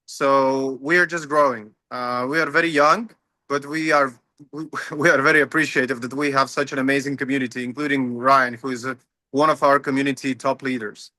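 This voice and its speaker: A man's voice: mid-range voice, fairly even intonation, a little fast, bright, forceful tone, dry recording. A man, a company founder explaining his product in an upbeat casual interview over a video call. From Latvia. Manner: upbeat, explanatory, slightly non-native phrasing. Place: home, early morning.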